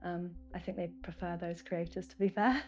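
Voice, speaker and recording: A woman's voice: lowish voice, normal speed, a little mellow, faint music behind. A woman, explaining things casually and offhandedly. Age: 20s. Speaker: interviewee.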